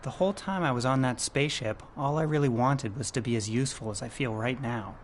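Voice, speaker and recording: An actor playing an anxious, earnest spaceship survivor in a video game, with a dry conversational delivery. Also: male voice, medium pitch, fairly quick, slight background noise, lightly acted. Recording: game music behind the voice. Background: game background music.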